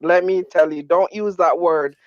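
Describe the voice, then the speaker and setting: A woman's voice: fairly low pitch, normal speed, darkish tone, no room sound. A woman chatting casually as a remote guest on an online panel over a lo-fi voice call. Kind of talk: casual chat. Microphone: Discord voice call. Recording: dull lo-fi voice chat line.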